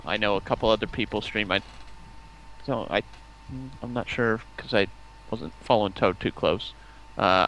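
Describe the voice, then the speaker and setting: A man's voice: on the low side, sharp tone, slight background noise, low-quality recording. A man chatting casually as a stream guest, rambling enthusiastically over a voice call. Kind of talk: casual chat.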